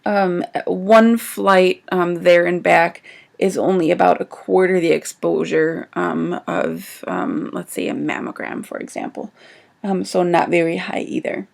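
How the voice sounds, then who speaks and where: Gravelly-voiced woman doctor getting over a cold. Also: on the low side, expressive, measured pace. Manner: frequent ums, conversational explaining. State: recovering from a cold. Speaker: medical doctor and survival business co-owner. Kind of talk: informal educational talk. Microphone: livestream setup.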